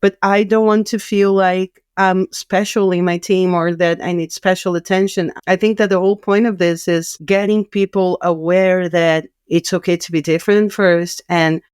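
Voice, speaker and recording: A woman's voice: on the low side, fairly even intonation, moderate pace, hardly any pauses, darkish tone, smooth, dry recording, clean studio sound. A woman from South America whose first language is Spanish, speaking English with a South American Spanish accent as a podcast guest. She talks earnestly, with many restarts and repeated words. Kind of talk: casual podcast conversation.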